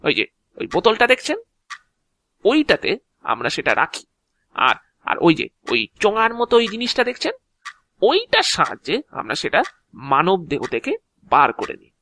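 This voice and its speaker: A man's voice: high-pitched, huge pitch swings, normal speed, long pauses, sharp tone, no room sound, studio quality, exaggerated voice. An actor playing an ancient, talkative agent of Death in an audio drama, explaining his work proudly in a theatrical, officious yet chatty way. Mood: brisk, explaining. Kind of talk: Bengali audio drama acting.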